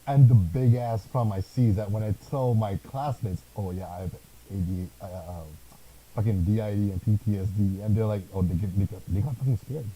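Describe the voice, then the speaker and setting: A man's voice: lowish voice, fairly quick, slightly rough, low-quality recording. A man co-hosting a podcast, chatting casually and joking, swearing freely. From Thailand. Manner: swears a lot, animated and joking. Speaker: podcast co-host. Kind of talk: casual chat.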